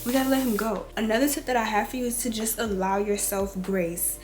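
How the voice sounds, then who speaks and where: A woman in her twenties with a raspy, rough voice from a cold, giving casual advice straight to her viewers. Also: a little high, steady tone, brisk, noisy background. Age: 20s. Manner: chatty, direct, addresses viewers as y'all and girl. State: hoarse from a cold. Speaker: beauty and lifestyle vlogger. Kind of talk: casual girl-talk advice.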